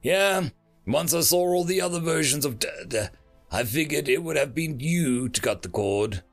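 A man's voice: a little high, expressive, a little slow, soft background music, voice pushed up. A man voicing a cocky, irreverent human soldier with a flippant, slangy way of talking. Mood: casual, curious.

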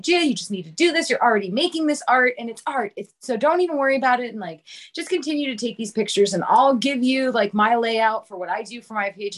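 A woman's voice: a little high, a bit bright. A woman in her thirties speaking as a podcast guest in casual, candid conversation, informal and self-deprecating, often saying "like". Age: 30s. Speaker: podcast guest, comedian and content creator.

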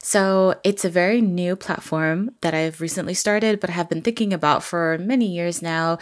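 A woman from Canada talking casually as an interview guest, informal and peppering sentences with "like". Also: low voice. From Vancouver, Canada. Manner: informal, frequent "like". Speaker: podcast guest, story artist.

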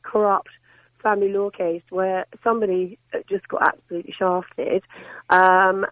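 A middle-aged woman calling in to a radio show, with a slightly croaky voice, putting her opinion firmly. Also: mid-range voice, no room sound, poor audio. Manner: firm, opinionated, talks in long runs. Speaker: caller. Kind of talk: radio phone-in call.

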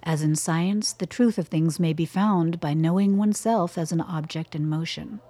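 A radio drama femme fatale acted by a woman, cool and self-assured. Her low, soft-edged voice is unhurried and cryptic, with a teasing allure. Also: no echo, natural voice. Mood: distant, musing. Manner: unhurried, cryptic, at times distant. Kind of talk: radio play acting.

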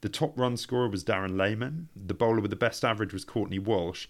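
A man's voice: fairly low pitch, brisk. A man co-hosting a sports podcast, talking conversationally and telling stories from prepared notes. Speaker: podcast co-host. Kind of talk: conversational cricket storytelling from notes.

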